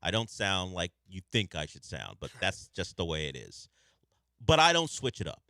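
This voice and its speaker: Low-voiced man for sports talk radio: crisp, bright, dry studio sound, relaxed measured chat. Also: no room sound. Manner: conversational, measured, thinking aloud. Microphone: studio microphone.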